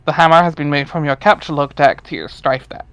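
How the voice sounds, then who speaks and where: A man's voice: on the high side, fairly quick, a bit bright, clear, resonant tone, no room sound. A man narrating a webcomic dramatic reading in a wry, informal way, with casual asides.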